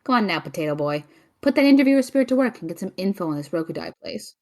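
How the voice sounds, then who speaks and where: A woman's voice: lowish voice, very animated, fast talker, a little mellow. A woman playing a witty, brainy magical sidekick, dry, teasing and precise, in a fantasy audio drama. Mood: practical.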